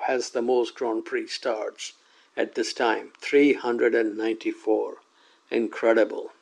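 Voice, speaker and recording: Gravelly man reading a news roundup. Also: mid-range voice, a little mellow. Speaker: segment presenter.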